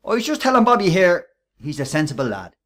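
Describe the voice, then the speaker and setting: A man's voice: high voice, big pitch swings, fairly quick, noisy background. An actor playing a scheming, menacing Irish workman and ringleader in a radio play, with a taunting, sarcastic, bossy manner. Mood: smug, bossy.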